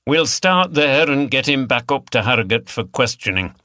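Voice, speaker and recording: A senior police detective, acted by a male audiobook reader, brisk and in charge. He gives orders decisively in a bright, forceful tone. The sound is dry and close. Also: medium pitch, sing-song, no echo, voice pushed up. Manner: decisive, commanding. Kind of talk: audiobook character dialogue.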